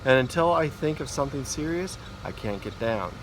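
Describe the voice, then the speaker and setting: A man reads a children's storybook aloud in an expressive way, stumbling now and then, outdoors in a backyard. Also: mid-range voice, a little fast. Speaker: school principal reading a story. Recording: noisy outdoor backyard recording. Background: neighbourhood ambience, mowers, dogs, kids.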